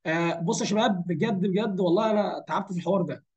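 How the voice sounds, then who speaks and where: Male Egyptian lecturer, high voice worn with fatigue, racing delivery, informal explanations peppered with English terms. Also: very fast, muffled recording. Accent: Egyptian Arabic. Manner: conversational, asks and answers his own questions. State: hoarse, strained voice from fatigue. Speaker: anatomy lecturer. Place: voice-over for a screen recording.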